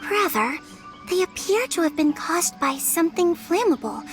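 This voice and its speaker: A sincere young yokai girl from a video game, measured and earnest. Her voice is high and bright, with soft music underneath. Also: very animated. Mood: thoughtful.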